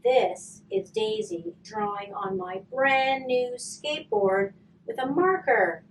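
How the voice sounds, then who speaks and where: A sulky young storybook boy, acted out by a woman, complains with emphatic drama. The voice is high and lively, picked up from a little distance in a roomy space. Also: slow, exaggerated voice. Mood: grumbling. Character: young boy Peter, moody, angry and sulky. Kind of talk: children's storybook character voice. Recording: roomy, distant mic, faint noise.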